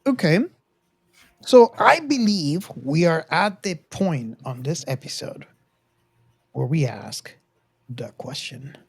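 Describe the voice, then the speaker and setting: Halting podcast host, close and dry. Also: medium pitch, lively intonation, gravelly, slightly rough, no echo. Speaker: host of a film discussion show. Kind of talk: casual podcast discussion.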